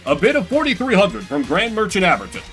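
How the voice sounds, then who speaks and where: A man voicing a formal game auctioneer who calls out bids in a crisp announcing manner. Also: sits high, big pitch swings, fast talker, a bit bright, soft background music, voice pushed up. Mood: brisk, rising excitement.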